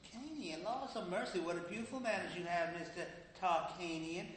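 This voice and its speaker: A man's voice: high-pitched, a little fast, fairly bright tone. A man playing a fussy, proud old grandmother in a stage play, genteel and scolding. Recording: echoey hall with faint noise.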